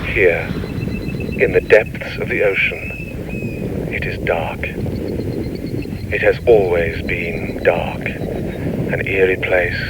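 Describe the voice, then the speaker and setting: Slow, portentous documentary narrator. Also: male voice, deep voice, wildly swooping pitch, heavy background noise, full character voice. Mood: ominous, hushed. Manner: portentous, florid, deliberate. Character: solemn male broadcaster narrating deep-sea menace. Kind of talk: dramatic scripted documentary narration.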